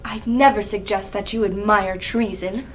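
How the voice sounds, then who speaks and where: A woman's voice: medium pitch, steady tone, moderate pace, natural voice, rough recording. A woman playing a principled young prince who defies his father in a dramatic reading of Greek tragedy, with a cutting delivery. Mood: defiant. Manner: defiant, cutting.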